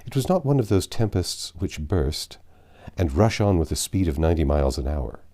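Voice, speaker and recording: A man reading a classic adventure novel aloud as an expressive narrator. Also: low-pitched, moderate pace. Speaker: audiobook narrator.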